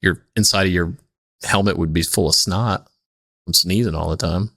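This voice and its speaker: A man with a low voice trades casual, playful banter as a comedy podcast co-host. He swears freely, and the sound is dry and close, with little room in it. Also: steady tone, moderate pace, gravelly, fairly bright tone, dry recording.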